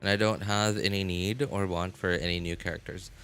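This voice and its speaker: A man hosting a game livestream, chatting casually in a halting, rambling way and often repeating and rephrasing himself. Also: sits low, steady tone, fairly bright tone. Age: adult, college graduate. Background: music.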